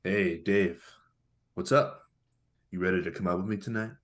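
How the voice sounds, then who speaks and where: A man's voice: low-pitched, steady tone, normal speed, fairly bright tone. A man playing a party-loving pick-up artist who tempts and pushes in a laid-back, cajoling way during a script read. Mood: cajoling, teasing. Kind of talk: live table read of a movie script.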